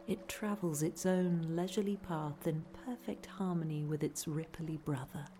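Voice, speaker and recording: A woman reading a scripted guided relaxation in a soothing, hushed, deadpan way. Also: lowish voice, noisy background. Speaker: narrator, reader. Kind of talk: scripted guided relaxation narration, parody meditation. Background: music.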